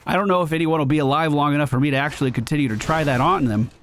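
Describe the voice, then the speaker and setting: A man's voice: on the high side, racing, fairly bright tone, a little hiss or hum. A man streaming a video game, giving casual, jokey running commentary and talking himself through play. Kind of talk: live gameplay commentary. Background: game music.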